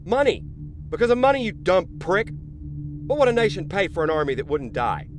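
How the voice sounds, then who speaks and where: An actor playing a greedy, ruthless corporate boss in an audio drama, smug, sardonic and profane. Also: male voice, high voice, sing-song, a little fast, background noise. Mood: angry, gloating. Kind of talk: dramatic radio play acting.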